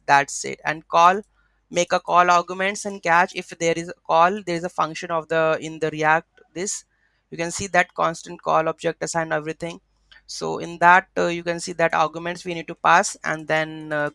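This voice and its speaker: A man presenting an unscripted coding tutorial, speaking haltingly, repeating words and often checking in with a quick question. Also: a little high, gravelly, bright, forceful tone, dry recording.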